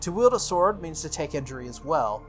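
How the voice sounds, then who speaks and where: A man's voice: on the high side, big pitch swings, brisk. A man voicing a stoic, dutiful young female sword-wielding servant from a visual novel, formal and matter-of-fact. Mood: solemn, firm. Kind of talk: visual novel character read-aloud. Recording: music under the voice.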